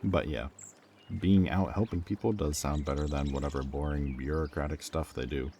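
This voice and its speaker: Man playing a very deep-voiced, wry ex-villain. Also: moderate pace, dark tone, slight background noise. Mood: wry, questioning. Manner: casual, teasing, earnest. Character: wry, loyal ex-villain thief, man, friend of a hero. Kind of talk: roleplay audio drama acting, conversational.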